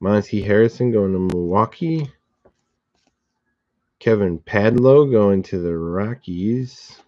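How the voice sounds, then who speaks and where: A slightly hoarse man with a deep, mellow voice walks through a box break at an easy, unhurried pace. He reads out each card and its recipient in steady, relaxed commentary. The sound is dry, dull and lo-fi. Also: no echo.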